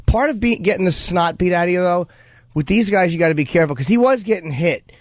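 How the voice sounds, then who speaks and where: A man's voice: high-pitched, animated, quick pace. A man co-hosting a radio show, talking casually in loose conversational commentary. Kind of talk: casual chat about fights.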